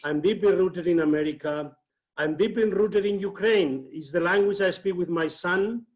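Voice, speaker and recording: A man from Spain speaks at a fairly high pitch over a video call. He builds his argument slowly, with frequent hesitations and self-corrections. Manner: thoughtful, with many hesitations and restarts. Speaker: seminar participant asking a question.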